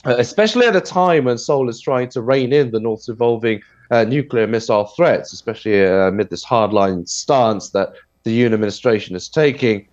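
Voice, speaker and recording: A man with a cold, sounding nasal and husky, explaining news items on a video call with a steady delivery full of fillers. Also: medium pitch, fairly quick, no echo, muffled recording. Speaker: news commentator. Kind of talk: radio news discussion.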